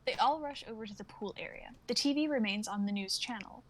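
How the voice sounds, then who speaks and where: A young woman reads out script directions as part of a dramatic reading. Her voice sits a little higher than most women's, and she narrates the scenes as a performer would. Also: fast. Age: young adult. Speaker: reader of stage directions and actor in a script reading.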